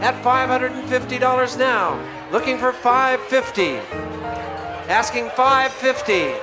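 Man, middle-aged, auctioneer, extremely high pitch, repetitive bid calling, strong music-like sound behind, handheld mic in a large hall. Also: a little slow. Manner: repetitive chanting of bids. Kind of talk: live benefit auction calling. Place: large banquet hall, on stage. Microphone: handheld microphone through a PA.

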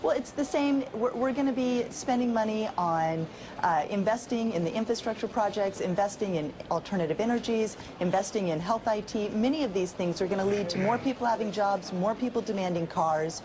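A woman in her thirties makes an economic case fluently and with an upbeat push over a remote link. Her pitch sits mid-range. The feed carries a noticeable noise bed. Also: fairly quick. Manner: fluent, upbeat policy explaining. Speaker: think-tank economist guest. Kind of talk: live TV interview. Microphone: remote studio camera.

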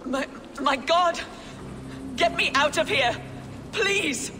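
A woman's voice: high-pitched, slow pace, noisy background. A woman playing a proud, bitter captive queen in a dramatic video game, speaking with emotional, declamatory intensity. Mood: frightened, pleading.

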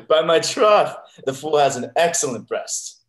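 A man in his twenties playing an earnest, simple gentleman in a Shakespeare reading over a video call. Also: a little high, sing-song, takes it slowly, roomy sound. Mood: merry, dim. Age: 20s. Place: bedroom.

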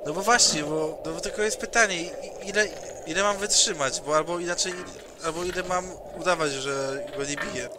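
A man voicing a carefree, strong young male mutant who rambles and jokes, in a tabletop roleplay with loud background noise. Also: high-pitched, fairly quick, hardly any pauses, lightly acted. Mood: joking. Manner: joking, rambling.

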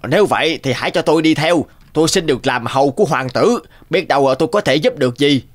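A man's voice: a little high, expressive, fast. A man voicing odd, loyal servants with strange powers in a fairy-tale cartoon, good-natured and eager. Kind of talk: animated fairy-tale dubbing.

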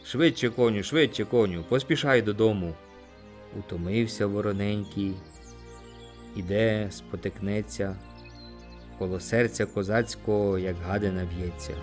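A man narrating an audiobook, reciting poetry in an expressive, theatrical way. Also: lowish voice, measured pace. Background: music.

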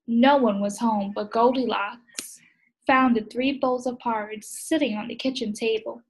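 A young adult woman with a slightly husky voice, reading a storybook aloud to young children as a preschool teacher, recorded on a laptop at home. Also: fairly high pitch, steady tone, a little slow, low-quality recording. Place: small indoor room at home. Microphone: laptop video call.

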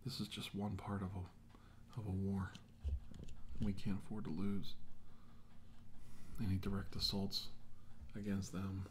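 A middle-aged man playing a grim, remorseful military scientist in an ASMR drama, speaking in hushed, halting phrases that often drop to a whisper. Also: low-pitched, level tone. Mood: grim resolve. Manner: hushed, halting, slow delivery in short phrases. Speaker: ASMR role-play actor. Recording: hushed voice over clear background noise.

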